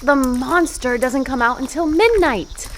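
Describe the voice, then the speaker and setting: A woman's voice: high-pitched, measured pace, a little hiss or hum. A woman playing a bossy, mischievous teenage girl in a horror audio play. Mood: teasing, bossy. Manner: bossy, teasing, animated.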